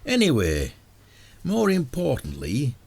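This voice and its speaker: A retired detective in a village radio play. He has a Northern English accent and a dry, sarcastic manner. His mid-pitched voice moves up and down freely. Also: wildly swooping pitch, slow, gravelly, a little mellow, slightly rough, dry recording, exaggerated voice. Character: wry retired detective, male, older villager. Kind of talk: radio drama acting.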